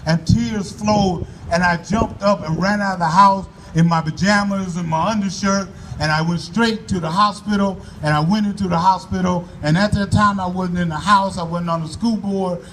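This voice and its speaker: A man giving an earnest, folksy address to a crowd at an outdoor rally in a park, speaking loudly into a microphone and urging people to come closer. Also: high voice, fairly even intonation, moderate pace, few pauses. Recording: voice from far off the mic, faint background noise.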